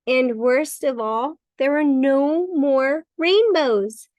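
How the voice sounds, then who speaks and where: High-voiced woman reading a children's story, unhurried. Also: dry recording. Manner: expressive, emphatic with repeated words. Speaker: storybook reader. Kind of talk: children's bedtime story narration.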